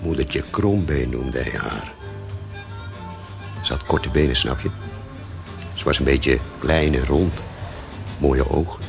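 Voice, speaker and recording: A man's voice: extremely low pitch, slow, vocal fry, pauses often, music in the background, heavily acted. An actor playing a talkative, cynical barman in a naturalistic radio drama, speaking in a hesitant, rambling way. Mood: wistful. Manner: hesitant, rambling, with self-corrections. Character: talkative café barman, cynical, fond of missing bandmate.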